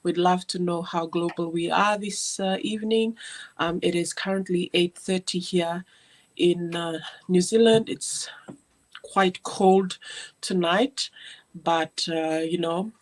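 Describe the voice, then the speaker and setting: A woman's voice: lowish voice, fairly even intonation, slow. A woman from Fiji hosting a live online business stream, chatting casually with many fillers and restarts.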